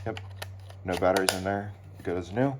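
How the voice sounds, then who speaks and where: A man giving casual, unscripted commentary as a hobbyist doing an unboxing, speaking haltingly with pauses. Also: sits low. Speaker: hobbyist unboxing weather radios. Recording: clear background noise, handling clicks.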